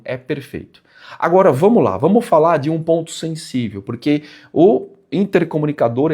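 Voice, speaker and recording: A man's voice: a little high, mellow. Brazilian man explaining things emphatically. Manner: conversational, emphatic, didactic with rhetorical questions. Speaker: presenter of a motorcycle channel. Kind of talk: explanatory vlog talk to viewers.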